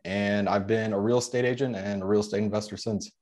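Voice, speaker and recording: A man in his twenties from the Chicago suburbs, whose first language is Polish, telling his story as a podcast guest in a relaxed way with frequent fillers. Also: lowish voice, fast talker. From Chicago suburbs, USA. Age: 20s. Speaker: guest, veteran and real estate investor. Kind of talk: podcast interview.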